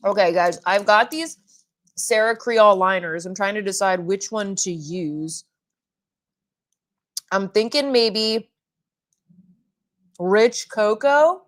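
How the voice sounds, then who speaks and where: A woman's voice: medium pitch, takes it slowly, long pauses. A woman in her thirties hosting a beauty livestream at home. She chats casually, reads out viewer comments and rambles with lots of "like" fillers. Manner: chatty and rambling, with frequent "like" fillers. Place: at home doing makeup in front of a mirror.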